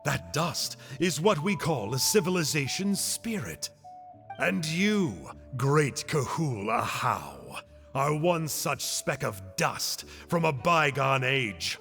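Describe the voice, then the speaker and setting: Drenched in long reverb, a grandiose scholarly game villain. He declaims slowly and portentously, with big swings of pitch over soft music. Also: big pitch swings, gravelly, heavily acted. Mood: menacing, curious. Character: grandiose scholarly male antagonist musing on fallen civilizations. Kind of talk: dramatic video game monologue.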